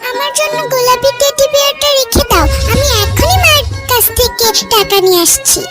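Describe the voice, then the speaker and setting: A sweet little cartoon girl who wheedles and coaxes. The pitch is extremely high, and loud music plays behind. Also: a little fast. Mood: wheedling. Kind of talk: children's cartoon voice acting.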